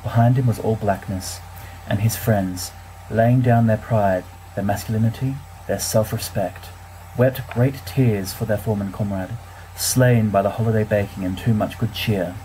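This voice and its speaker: A man plays a bookish teenage schoolboy with a very mellow, soft-edged voice. He reads his letters with dry, wordy, mock-solemn wit. It is an amateur story recording with faint noise behind him. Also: lowish voice, steady tone, normal speed, close to an everyday voice, rough recording. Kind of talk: reading letters aloud in character, audiobook-like fan recording.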